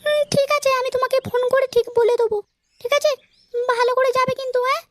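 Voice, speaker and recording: A man voicing a coaxing, sweet-talking young wife in a cartoon, through a pitched-up voice changer. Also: steady tone, quick pace, a bit bright. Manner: coaxing, cutesy. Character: sweet-talking young wife meeting her secret lover. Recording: faint background noise.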